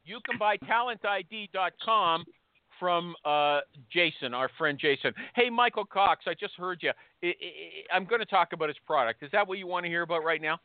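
High-voiced pushy man on a tinny line. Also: moderate pace, no room sound. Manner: pushy, teasing, interrupts often. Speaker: show host. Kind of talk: casual podcast interview. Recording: tinny phone-line sound.

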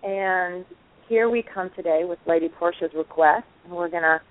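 A woman's voice: fairly low pitch, moderate pace. A woman co-hosting an online talk show, chatting in an effusive, enthusiastic way. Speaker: co-host. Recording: phone-like narrow line.

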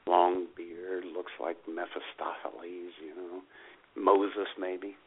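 A man co-hosting a casual comedy radio show, telling stories in an animated, exasperated way. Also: low voice, slow, slight background noise. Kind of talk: casual comic banter and storytelling. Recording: tinny phone-line internet radio sound.

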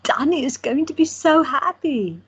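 A woman playing a quiet, teasing photographer in her twenties, trading dry banter with her brother. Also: sits high, animated, a little slow. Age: 20s. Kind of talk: amateur audio drama acting. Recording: dull lo-fi audio.

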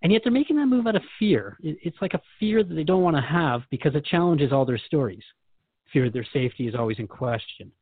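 A man's voice: a little high, animated, fast talker, breathy edge, phone-quality sound. A man hosting a reflective spiritual conversation, asking earnest questions as he thinks aloud. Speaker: podcast host questioning a spiritual guide.

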